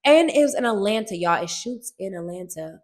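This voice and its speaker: A woman, an actress, sharing a personal testimony to the camera in a casual, animated, emphatic way, recorded on a film set. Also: medium pitch, a little fast. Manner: animated, emphatic storytelling, often repeats phrases.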